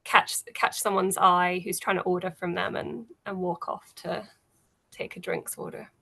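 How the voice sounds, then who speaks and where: A woman improvising narration as game master of a tabletop game, in a halting, conversational way. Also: fairly low pitch, steady tone, creaky, bright, forceful tone.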